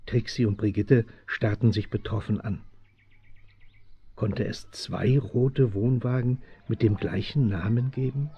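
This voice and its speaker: A man's voice: on the low side, measured pace, breathy edge, full character voice. A man playing the storyteller of a children's radio mystery, giving scripted narration. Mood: narrating. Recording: dull old audio drama recording.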